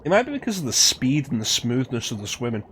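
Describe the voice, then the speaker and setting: A man's voice: mid-range voice, big pitch swings, quick pace, fairly bright tone, slight background noise. A man giving casual commentary while streaming a video game. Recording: game music playing behind. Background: video game music.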